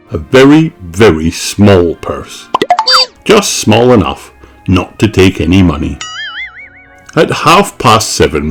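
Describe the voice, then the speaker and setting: A man reading a children's storybook aloud expressively, with theatrical emphasis on key words. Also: sits low. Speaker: storybook reader.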